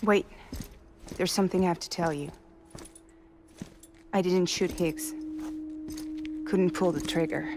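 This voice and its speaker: Low-voiced, candid young company boss. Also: female voice, steady tone, slow, long pauses, noisy background. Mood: quiet, confiding. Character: resilient, candid female courier company leader, tender and wry. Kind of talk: cinematic video game dialogue.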